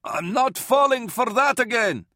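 Man voicing defiant, high-pitched stubborn soldier. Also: lively intonation, unhurried, dry recording, clean studio sound, heavily acted. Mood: defiant, suspicious. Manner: defiant yelling.

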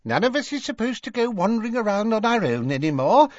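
Man voicing a flustered, high-pitched shopkeeper woman. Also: big pitch swings, quick pace, darkish tone, no room sound, highs cut off. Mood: fussy, motherly. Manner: fluttery, anxious, motherly. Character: flustered, fussy middle-aged woman shopkeeper.

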